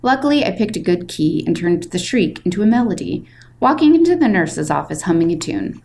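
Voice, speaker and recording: A woman's voice: lowish voice, sing-song, fairly quick, creaky, darkish tone. A woman reading as a short, wisecracking eighth-grade boy, chatty, theatrical and self-deprecating, in a storybook read-aloud. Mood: wry, theatrical. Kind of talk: expressive first-person audiobook-style reading. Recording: faint background noise.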